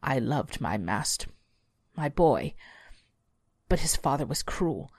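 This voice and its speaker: Woman as a demonic giant hound, slow, guttural, low, menacing but loyal, horror storybook, very dry recording. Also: big pitch swings, a little mellow, slightly rough, no room sound, full character voice. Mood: sorrowful. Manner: slow, deliberate menacing delivery. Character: massive demonic male devil dog, sneering, menacing yet loyal.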